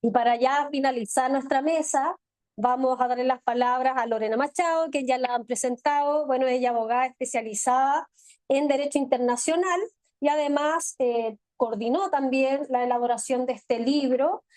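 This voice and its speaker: A woman's voice: high voice, moderate pace, darkish tone, no echo. A woman moderating an academic panel, thanking one speaker and hesitantly introducing the next. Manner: hesitant, filler-heavy. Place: university event hall. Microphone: microphone at a panel table.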